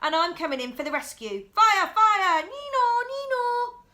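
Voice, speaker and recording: A woman voicing an excitable boy playing pilot in a storybook, calling out urgent, loud announcements as if over a radio. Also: extremely high pitch, measured pace, pitched above the performer's own voice, full character voice. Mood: urgent, excited.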